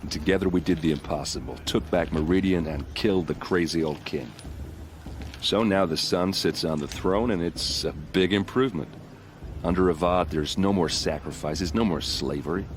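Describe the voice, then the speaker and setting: A male actor performs a friendly video game warrior with a deep voice. He is plain-spoken and wry, proud of his people and given to folksy boasting. Faint ambient noise sits behind him. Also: moderate pace, lightly acted. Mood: proud, warm. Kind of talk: game cutscene dialogue acting.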